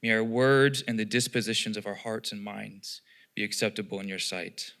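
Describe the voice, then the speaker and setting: A pastor, a man, giving an earnest, measured invocation at a public meeting. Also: on the low side, steady tone, a bit bright. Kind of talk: public prayer.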